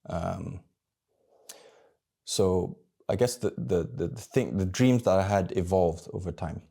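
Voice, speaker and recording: Man, 30s, from Malaysia; deep, dark, gravelly voice; candid, introspective vlogger who talks haltingly. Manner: halting, searching for words, many restarts. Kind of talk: personal reflective monologue.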